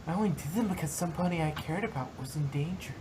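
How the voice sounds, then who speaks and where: A woman voicing a shy, gentle female pegasus pony in a fan fiction reading, speaking hesitantly and earnestly. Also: low-pitched, fast talker, lightly acted. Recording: noisy home microphone recording.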